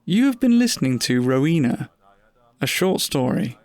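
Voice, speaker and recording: A man's voice: fairly high pitch, sing-song, moderate pace, darkish tone, dry recording. A man reading scripted closing credits as a podcast announcer.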